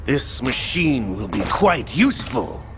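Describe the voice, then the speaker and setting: A man's voice: medium pitch, big pitch swings, unhurried, music in the background. An actor voicing a sly, mocking male villain who talks theatrically.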